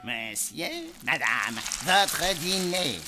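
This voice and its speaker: An actor voicing a monster chef who schemes to scare guests, sly. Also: male voice, fairly high pitch, lively intonation, drawn-out words, music in the background. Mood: scheming.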